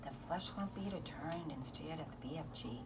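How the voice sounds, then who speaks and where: A woman's voice: low-pitched, moderate pace, far from the mic, noisy background. A woman reading a children's storybook aloud as narrator. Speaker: volunteer story reader. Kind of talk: children's book read-aloud.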